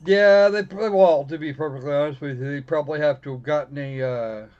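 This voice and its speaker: A man with a fairly high voice chats casually as a livestream host. He rambles and backtracks on his own sentences. The recording is somewhat dull and lo-fi. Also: brisk, darkish tone. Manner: rambling, self-correcting. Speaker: live stream host. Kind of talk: casual livestream chat while gaming.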